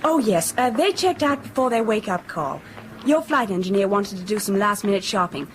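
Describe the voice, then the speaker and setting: An actress playing a brisk, polite hotel receptionist in a radio drama. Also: female voice, mid-range voice, lively intonation, a little hiss or hum, lightly acted. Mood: brisk, helpful. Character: brisk, polite female hotel desk clerk.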